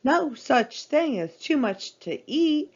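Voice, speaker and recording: A woman's voice: sits high, sing-song, slow, pitched above the performer's own voice, heavily acted. An exuberant tiger from a children's story, voiced by a woman reader. He is jolly, eager and chuckly. The recording is a little dull.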